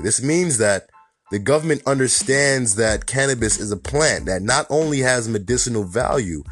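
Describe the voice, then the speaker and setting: A New York man hosts his podcast alone, his low voice gone raspy and hoarse from a mild cold. He talks in a loose, chatty way, leaning on "you know" and "honestly." Faint music plays underneath. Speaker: podcast host. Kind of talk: casual solo podcast commentary.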